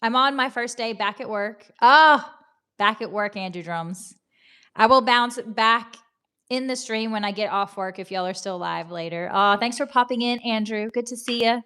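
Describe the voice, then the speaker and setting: A woman's voice: fairly high pitch, normal speed, bright, forceful tone, clear, resonant tone. A woman in her thirties from Tennessee with a Southern American accent, hosting a casual livestream, chatting, laughing often and greeting viewers by name. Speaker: livestream host. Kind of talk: casual chat reading comments.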